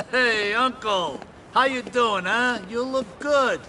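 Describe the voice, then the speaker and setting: A man's voice: very high-pitched, slow pace, sharp tone, a little hiss or hum, heavily acted. A man playing a brash, volatile mafia don in a video game, with an Italian-American accent and a blustering, theatrical manner. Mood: elated, wheedling. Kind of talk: video game crime drama acting.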